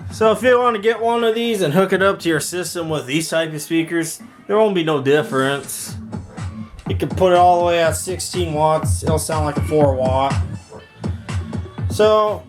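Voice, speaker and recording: A man's voice: on the high side, normal speed, soft background music. A man talking informally in a hands-on product demo vlog, rambling a little and correcting himself as he goes. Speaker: video maker reviewing audio gear.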